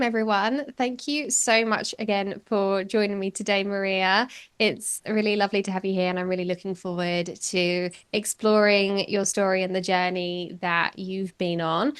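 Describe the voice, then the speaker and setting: A woman's voice: mid-range voice, smooth. A woman hosting a podcast, narrating a scripted intro and then interviewing a guest with encouraging questions. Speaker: podcast host.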